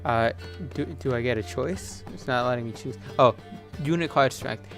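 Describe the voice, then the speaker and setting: A man's voice: medium pitch, normal speed, creaky. Man doing casual gameplay commentary, music behind. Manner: reads on-screen text aloud. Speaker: video game player and commentator.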